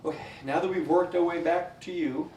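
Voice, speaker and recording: Male actor as group therapist: placating, over-encouraging, hesitant, highish voice, distant mic, comedic sketch. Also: steady tone, a little fast, a little mellow, lightly acted. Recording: echoey room, distant mic, faint noise.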